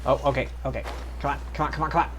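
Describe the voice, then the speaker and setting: A man's voice: medium pitch, steady tone, rapid-fire, a bit bright, slight background noise. A man doing casual live gameplay commentary, muttering short, repeated reactions to himself as he plays. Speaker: game streamer.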